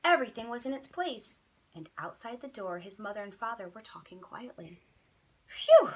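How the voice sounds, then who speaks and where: A woman's voice: medium pitch, expressive, a little fast, a bit bright, slightly rough, rough recording. A woman teacher reading a children's bedtime story expressively, with dramatic, emphatic phrasing.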